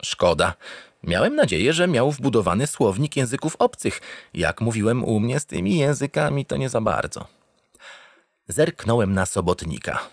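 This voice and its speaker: A man's voice: mid-range voice, big pitch swings, moderate pace, vocal fry, dry recording. A man narrating a sci-fi comedy audio drama in a theatrical, playful way, as a cheerful, self-satisfied, dim-witted spaceship captain. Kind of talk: comedic first-person scripted narration.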